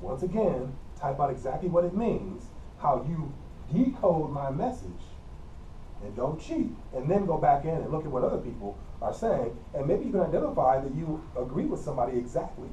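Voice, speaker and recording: A man's voice: on the high side, very animated, moderate pace, mellow, highs cut off. A middle-aged man with a Midwestern accent giving a college communication lecture in an empty classroom, explaining plainly and repeating key points. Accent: Midwestern American. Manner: plain, repetitive teacherly explaining with frequent coughs. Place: empty classroom with whiteboard and air conditioner. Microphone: camera mic from across the room. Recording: roomy, distant camera audio with faint hum.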